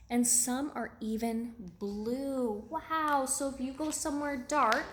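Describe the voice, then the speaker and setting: A woman's voice: fairly high pitch, a bit bright, echoey room. A woman preschool teacher reading aloud to young children, encouraging them with simple repeated phrases and questions.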